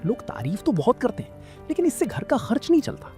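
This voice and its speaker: An actor playing a dejected, struggling village shopkeeper in a cartoon story, plaintive and weary. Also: male voice, extremely high pitch, wildly swooping pitch, fairly quick, warm tone, soft background music, exaggerated voice. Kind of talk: animated storybook dialogue.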